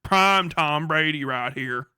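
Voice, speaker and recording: A man acts out a sycophantic stoner bro in a comic streamer bit. The voice sits lowered, awed and drawling, full of slangy flattery. It is recorded dry and close. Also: slow, clean tone, no room sound, full character voice. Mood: awed, flattering. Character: dazed, sycophantic stoner bro hyping a dumb idea.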